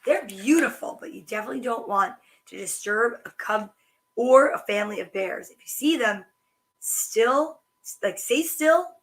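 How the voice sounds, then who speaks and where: A woman hosting a children's story time, reading picture books aloud with chatty asides in a sing-song, animated way. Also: on the high side, moderate pace, rough recording.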